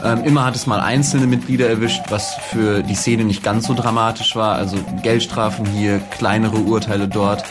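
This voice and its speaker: A man's voice: lowish voice, fairly even intonation, moderate pace, hardly any pauses. Male interviewee recounting over music. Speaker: interviewee, scene insider. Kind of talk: explanatory interview account.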